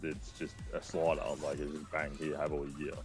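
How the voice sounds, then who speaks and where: A man's voice: very deep voice, completely flat delivery, a little fast, faint music behind. A man nearing thirty chatting casually about games, loose and profane, with many filler words. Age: 20s. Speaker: co-streamer. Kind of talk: casual gaming chat.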